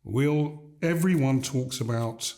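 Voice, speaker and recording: An older man from Gambia speaks as a guest in an interview, measured and emphatic. He stacks his points in repeated phrases. His voice sits mid-range and sounds mellow and warm. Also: medium pitch. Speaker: guest, leadership coach. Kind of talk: reflective interview conversation.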